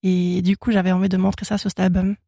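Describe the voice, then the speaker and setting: Chatty young woman, gravelly voice. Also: on the low side, flat delivery, fast, warm tone, no echo, clean studio sound. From Switzerland. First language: German. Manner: chatty, laughs often, many fillers and restarts. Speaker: singer-songwriter guest. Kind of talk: casual radio interview. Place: radio studio.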